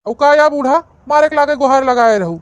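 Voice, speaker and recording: Woman voicing a high-pitched old Awadhi farmer husband. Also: brisk, no room sound, highs cut off, close to an everyday voice. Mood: gruff scolding. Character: gruff but soft-hearted old village husband and farmer. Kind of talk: cartoon comedy acting.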